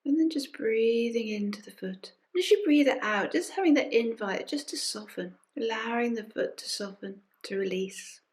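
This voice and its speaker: A woman leading a guided meditation as a teacher, speaking gently and unhurriedly in short phrases with pauses and soft invitations. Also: on the high side, animated. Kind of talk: guided body scan meditation. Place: recorded during an online session.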